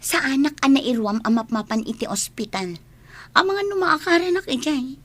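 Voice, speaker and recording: A woman playing an excitable, fretful, scoffing female character in a radio comedy drama. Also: high-pitched, moderate pace.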